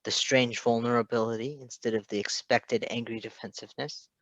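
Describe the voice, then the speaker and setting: Male story narrator, mid pitch, expressive reading, dry close recording. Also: brisk, dry recording, lo-fi sound. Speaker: podfic reader.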